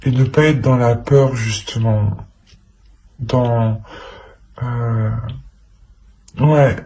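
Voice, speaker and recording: A man's voice: mid-range voice, steady tone, extremely slow, warm tone. A man giving an improvised tarot reading to the viewer, speaking hesitantly and often repeating his phrases. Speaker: tarot reader.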